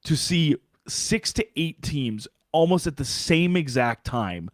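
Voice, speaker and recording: A man co-hosting a sports podcast, talking in an animated, sarcastic way and circling back with restarts. The sound is dry and close, with no reverb around him. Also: fairly high pitch, slow. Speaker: podcast co-host. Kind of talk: casual sports chat.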